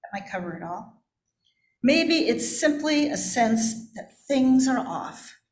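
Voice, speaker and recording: A woman's voice: high voice, expressive, slow pace. A woman pastor giving a personal, confessional sermon in a candid, self-deprecating way. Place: church, indoors. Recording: echoey room.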